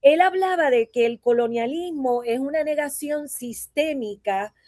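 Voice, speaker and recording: A woman's voice: high-pitched, measured pace, darkish tone, dry recording, studio quality. A woman from Puerto Rico with a Puerto Rican accent, a voice teacher giving a conversational conference talk and often checking in with her listeners through tag questions. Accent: Puerto Rican Spanish.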